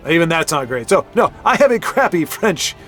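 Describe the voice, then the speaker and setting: Brief: male card-game caster, slightly high voice, rambling and self-correcting, casual play-by-play. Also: big pitch swings, brisk, fairly bright tone, soft background music. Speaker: card game commentator and show host.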